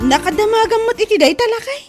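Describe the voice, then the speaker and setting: A woman acting a high-voiced gossipy Ilocano laundrywoman. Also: animated, quick pace, over loud music. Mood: gossipy, surprised. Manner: gossipy, exclamatory. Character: gossipy, aggrieved laundrywoman chatting with her friend. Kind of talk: Ilocano radio comedy drama acting.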